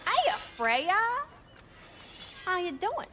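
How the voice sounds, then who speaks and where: A woman voicing a lively, flirty dwarf blacksmith in a video game, with a folksy, teasing drawl. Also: very high-pitched, drawn-out words, music in the background, full character voice. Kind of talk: video game cutscene acting.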